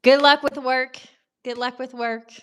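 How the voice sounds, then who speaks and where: A woman's voice: sits high, little pitch movement, a little slow, fairly bright tone, clear, resonant tone. Chatty Southern American woman streamer. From Tennessee. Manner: chatty, laughs often, greets viewers by name. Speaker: livestream host. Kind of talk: casual chat reading comments.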